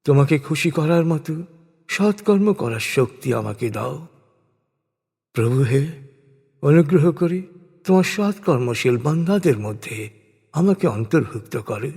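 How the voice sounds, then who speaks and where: A man reading translated scripture as a solemn scripted narration, with measured, deliberate phrasing in short segments. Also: a little high, expressive, a little mellow, slightly rough. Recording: long hall-like reverb.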